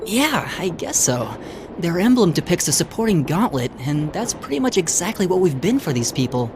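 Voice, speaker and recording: An actress playing a thoughtful, friendly young student in a video game, speaking tentatively but expressively. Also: female voice, on the low side, very animated, normal speed, noisy background. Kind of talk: video game cutscene dialogue acting.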